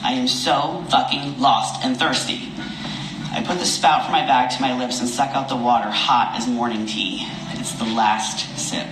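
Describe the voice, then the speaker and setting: A man reading a first-person comic story in a wry, expressive, self-deprecating way. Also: medium pitch, measured pace. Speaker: reader of a story. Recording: echoey room with clear background noise.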